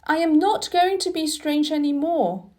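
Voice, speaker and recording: A woman's voice: high voice, a little slow, darkish tone, pitched above the performer's own voice. A woman voicing an imperious, earnest young boy in a storybook, declaring things emphatically. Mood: determined.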